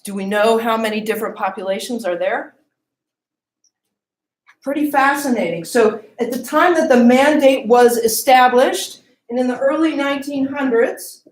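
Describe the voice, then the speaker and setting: A woman's voice: sits high, echoey room, rough recording. A woman giving a classroom lecture, often asking the class questions and checking in with "okay?" and "right?".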